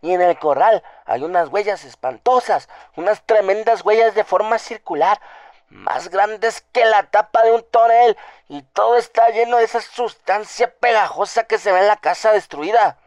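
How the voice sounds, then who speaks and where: A man's voice: high voice, moderate pace, no echo, natural voice. A man playing a frightened, superstitious farm housekeeper woman with a trembling voice, telling alarming news at length in a radio drama. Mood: terrified, urgent.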